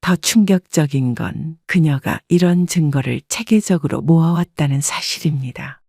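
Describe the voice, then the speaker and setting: A woman narrating a first-person family story in a measured, subdued reading. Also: low voice, darkish tone, dry recording, studio quality.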